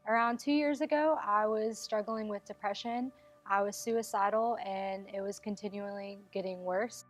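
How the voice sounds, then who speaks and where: A woman's voice: mid-range voice, fairly even intonation, moderate pace. Young woman giving testimony over music. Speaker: church member giving testimony. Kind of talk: personal testimony.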